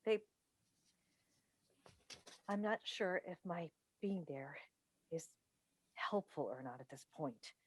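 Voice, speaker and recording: A woman playing a weary, strained mother and wife in a staged play reading, halting and guarded, heard over a video call. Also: lowish voice, lively intonation, breathy edge, full character voice.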